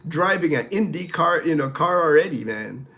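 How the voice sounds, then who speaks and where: A man's voice: a little high, lively intonation, normal speed, vocal fry, fairly bright tone. Chatty middle-aged Filipino man, rambling. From Philippines. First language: Tagalog. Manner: rambling, friendly, many fillers and restarts. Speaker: live stream host answering viewer questions. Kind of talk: casual live-stream chat.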